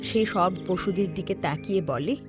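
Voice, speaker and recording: A woman's voice: fairly low pitch, normal speed. A woman narrating a scripted children's cartoon story. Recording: background music under the voice.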